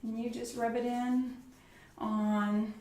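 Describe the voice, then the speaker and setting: A soft-spoken woman doing an ASMR medical roleplay as a doctor, murmuring reassuring step-by-step instructions to a patient. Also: a little high, level tone, takes it slowly, roomy sound, far from the mic. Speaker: ASMR performer playing an orthopedist. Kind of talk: ASMR medical roleplay, one-on-one personal attention. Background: clicks and handling sounds of props.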